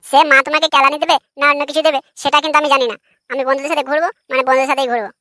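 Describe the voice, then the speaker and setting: Woman acting a shrill, cheeky cartoon boy. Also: little pitch movement, rapid-fire, pushed and bright, no room sound, clean studio sound. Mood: defiant. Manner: brash, argumentative, swearing. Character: cheeky foul-mouthed squabbling village boy. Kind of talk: comic cartoon dialogue.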